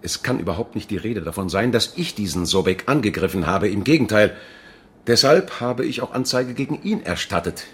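An actor playing a defensive, righteous political protester in a German radio crime drama, arguing emphatically. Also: male voice, lowish voice, expressive, a little fast, natural voice. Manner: emphatic, argumentative. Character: defensive, righteous male anti-globalization protester.

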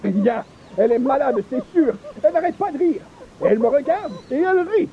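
A man's voice: very high-pitched, very animated, brisk, phone-quality sound, crowd noise. An actor playing a pompous, hapless male columnist with florid, formal wording in a radio comedy. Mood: sarcastic, grumpy. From Quebec. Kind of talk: 1940s-style radio comedy acting.

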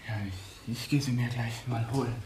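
An actor in a German radio play, playing a nervous, unwell house guest who hesitates and repeats himself. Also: male voice, mid-range voice, little pitch movement, moderate pace, natural voice. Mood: flustered, unwell. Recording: noisy radio recording.

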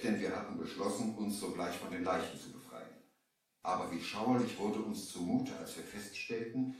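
Ein Mann spielt einen feierlichen, schiffbrüchigen Erzähler im hallenden Hörspiel. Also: male voice, on the low side, close to an everyday voice. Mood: grave. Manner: solemn, theatrical, deliberate diction. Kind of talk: dramatic storytelling in a 1970s German radio play. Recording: roomy, echoing 1970 vinyl radio play, distant.

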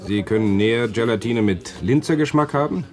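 An actor playing an officious, curt attendant in a 1970s science-fiction radio drama. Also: male voice, mid-range voice, normal speed, darkish tone, lightly acted. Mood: brusque. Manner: curt, bureaucratic. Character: officious, brusque male attendant of a futuristic lounge. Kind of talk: 1970s German radio play acting.

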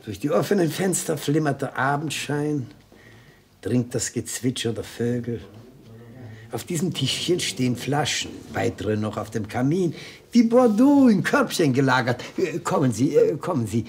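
An actor playing an elderly, genial and fussy retired defence lawyer in a German radio play, speaking in a courteous, expansive manner. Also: male voice, mid-range voice, big pitch swings, moderate pace, darkish tone. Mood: savouring, genial. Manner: courteous, savouring, expansive, admonishing.

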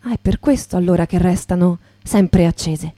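A woman acting in poetic radio theatre as a wondering, solemn storyteller, with a measured, lyrical delivery. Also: on the low side, mellow, lightly acted. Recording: guitar music behind the voice.

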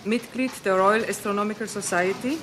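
A woman's voice: mid-range voice, normal speed, fairly bright tone, lightly acted. A woman playing a composed, official news announcer, reading a bulletin formally and precisely in a 1960s radio play. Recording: noisy vintage radio recording.

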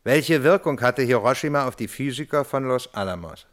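An actor playing a sober, probing lawyer who questions a witness formally and matter-of-factly in a German radio play. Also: male voice, medium pitch, lively intonation, brisk, natural voice. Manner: formal, matter-of-fact, insistent.

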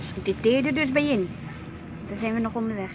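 A woman's voice: fairly high pitch, fairly quick, natural voice. An actress as fussy, hesitant woman, muffled noisy recording. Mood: mildly complaining. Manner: hesitant, mildly complaining, chiding. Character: fussy, indecisive, easily embarrassed woman out walking. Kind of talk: naturalistic Dutch radio play acting.

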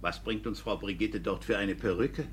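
An actor playing a stern court inspector in a 1950s radio play, questioning in a measured, formal way. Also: male voice, fairly low pitch, fairly even intonation, slight background noise, lightly acted.

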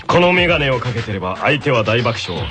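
A man playing an eccentric teenage senior in an anime radio drama, proudly explaining his inventions in a self-satisfied deadpan. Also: mid-range voice, fast talker, pitched below the performer's own voice, lightly acted. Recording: loud music behind the voice.

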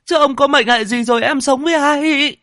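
A man's voice: very high-pitched, racing, a bit bright, no echo, studio quality, pitched above the performer's own voice. Man putting on a screeching panicked rich old woman. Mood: wailing panic. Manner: screeching, scolding.

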